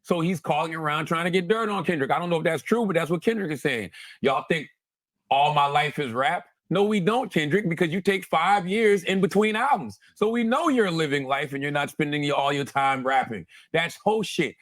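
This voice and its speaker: A man hosting an animated, conversational breakdown of rap lyrics, emphatic, repeating phrases for effect and swearing casually. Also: fairly high pitch, a little fast, dry recording, studio quality.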